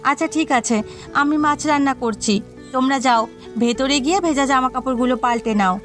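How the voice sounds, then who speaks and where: A woman's voice: high voice, fairly quick, fairly bright tone. A woman voicing a nagging, thrifty village housewife in a cartoon, with music behind her. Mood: resigned, bossy. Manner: scolding. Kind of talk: cartoon dialogue acting. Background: background music.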